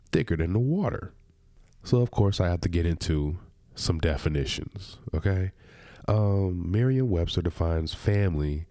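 A man's voice: sits low, dark tone, no echo, clean studio sound. A man hosting his own podcast in a loose, personal monologue, sounding a little nasal from a cold. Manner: conversational, loose and personal, with asides. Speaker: podcast host. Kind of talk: casual solo podcast monologue.

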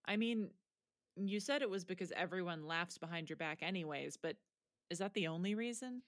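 A woman's voice: fairly low pitch, normal speed, no room sound, clean studio sound, natural voice. A woman playing a frank, wry teenage student in a naturalistic audio drama, understated and a little hesitant. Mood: frank, thoughtful.

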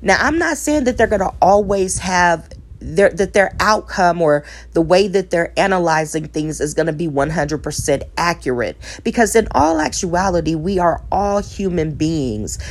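A woman's voice: fairly low pitch, expressive, normal speed. A woman, a divorced single mother giving relationship advice in an earnest, reflective monologue, with a preacher-like cadence, repeated phrases and self-deprecating asides.

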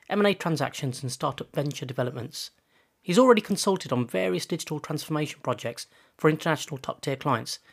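A man's voice: a little high, a bit bright. A man hosting a podcast interview, talking in a chatty way and often restarting his phrases. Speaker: podcast host.

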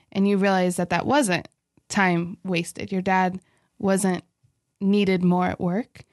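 A woman's voice: on the low side, dry recording, clean studio sound. Earnest young woman co-hosting a faith podcast. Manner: warm, laughs often, earnest about faith. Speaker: podcast co-host. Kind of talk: casual chat.

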